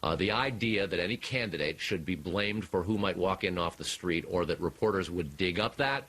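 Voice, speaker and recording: A man with an American accent reporting live as a television news correspondent, deliberate and emphatic. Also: sits low, fairly bright tone, rough recording.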